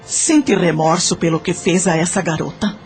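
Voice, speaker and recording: An actress playing a measured, probing questioner of spirits, with a Brazilian accent. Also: female voice, on the low side, darkish tone, soft background music, close to an everyday voice. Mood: inquiring. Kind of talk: Portuguese-language audio drama acting.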